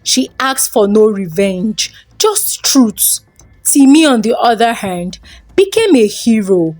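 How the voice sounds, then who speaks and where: A woman's voice: a little high, very animated, slow, darkish tone, faint music behind. A woman storyteller giving a dramatic scripted narration of a folktale.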